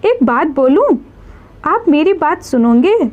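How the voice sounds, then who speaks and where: A woman's voice: sits high, very animated, takes it slowly, narrow, tinny audio, full character voice. A woman playing a loving, coy young wife who coaxes affectionately in a story. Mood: coaxing. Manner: affectionate, coaxing.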